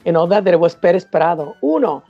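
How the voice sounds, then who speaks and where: A woman's voice: fairly low pitch, fast, no echo. A woman interviewed as a salsa dancer, telling stories animatedly and acting out old conversations. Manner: animated, acts out past dialogue and counts. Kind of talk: casual storytelling in an interview. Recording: dull lo-fi sound with faint music.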